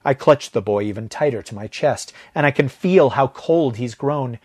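A man with a husky voice narrating a story in a dramatic, deliberate way, letting phrase endings drop to a hush. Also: medium pitch, expressive. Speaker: audio fiction narrator.

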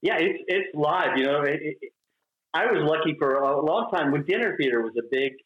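A man's voice: fairly high pitch, animated, moderate pace. A male actor speaking as an interview guest in casual chat, gushing with exclamations. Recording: echoey, dull lo-fi sound.

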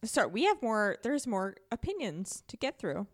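A woman's voice: on the high side, sing-song, moderate pace. A woman co-hosting a podcast, chatting casually and informally about a game. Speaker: podcast co-host. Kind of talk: casual chat.

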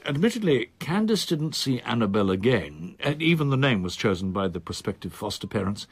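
An actor playing a reserved solicitor in his sixties in a radio drama, with a measured, formal, precise manner. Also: mid-range voice, big pitch swings, breathy edge, dry recording. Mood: wistful, confessional. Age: 60s. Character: reserved older male solicitor, in his sixties, quietly confiding.

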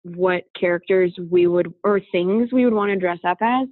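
A woman's voice: fairly low pitch, normal speed, dry recording. A woman who teaches talks casually about books as a podcast co-host, chatty and full of fillers and restarts. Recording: dry, tinny phone-like line.

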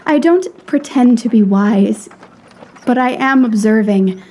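Woman acting a candid young lady, high, mellow. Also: takes it slowly. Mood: frank, reproving. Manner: earnest, old-fashioned phrasing. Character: candid, sensible young lady, gently scolding. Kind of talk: audio play acting, period drama.

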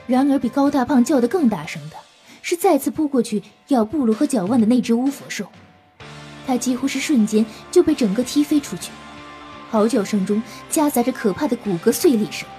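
A woman's voice: on the high side, animated, brisk, mellow, faint music behind. A woman narrating a scripted audio drama as a storyteller, in a dramatic style.

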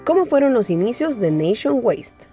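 A woman hosts a business podcast with a warm, mellow voice and animated intonation. She reads her introduction in a polished, measured way, with faint music underneath. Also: on the high side. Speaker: podcast host. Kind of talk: scripted intro and interview questions.

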